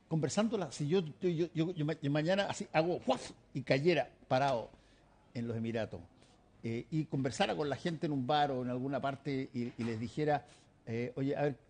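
A man interviewing a guest on TV, asking long, halting questions full of restarts. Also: mid-range voice, darkish tone.

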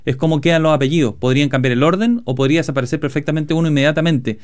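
Quick-talking Chilean male radio host. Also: on the high side, darkish tone. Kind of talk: conversational interviewing and live ad reads.

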